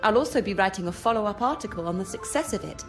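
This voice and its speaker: A woman acts a self-possessed society lady in a mystery game. Her voice is mid-pitched and articulate, her manner polite and composed, with faint music underneath. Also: expressive, quick pace, lightly acted. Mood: pleased, polite. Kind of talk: video game voice acting.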